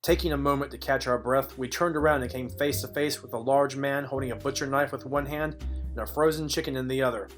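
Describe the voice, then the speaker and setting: Man acting a deadpan noir detective over music. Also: mid-range voice, brisk, lightly acted. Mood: wry, deadpan. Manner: deadpan, dryly wry. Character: wry, world-weary male private detective narrating. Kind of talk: film noir parody narration.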